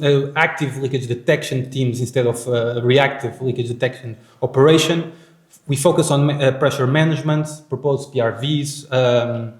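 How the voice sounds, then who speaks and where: Portuguese guy presenting at a conference, lots of uh and restarts, echoey hall. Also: mid-range voice. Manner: halting, many fillers and restarts.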